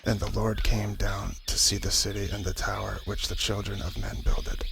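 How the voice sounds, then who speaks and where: A man reading scripture as a hushed, breathy ASMR narration in a whispery voice. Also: fairly low pitch, little pitch movement, moderate pace, noisy background. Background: background music.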